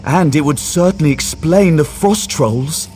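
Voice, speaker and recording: Man acting as a higher-voiced wry quest guide. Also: unhurried, a little mellow, soft background music, lightly acted. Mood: matter-of-fact, wry. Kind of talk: video game quest dialogue.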